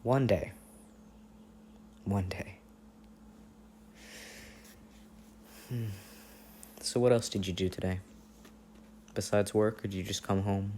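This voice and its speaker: Low-voiced, affectionate boyfriend played by a man. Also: steady tone, a little hiss or hum, close to an everyday voice. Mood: affectionate, relaxed. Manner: soft teasing, chuckling, hesitant pauses. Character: affectionate, playful, cheesy long-distance boyfriend on a phone call. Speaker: audio roleplay performer. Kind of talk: intimate ASMR boyfriend roleplay, casual chat.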